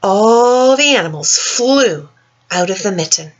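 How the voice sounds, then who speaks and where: Husky, animated woman reading a storybook. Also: mid-range voice, measured pace, muffled recording. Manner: animated, dramatic, addressing young listeners directly. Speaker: storyteller reading a picture book to children. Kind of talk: expressive children's storybook read-aloud.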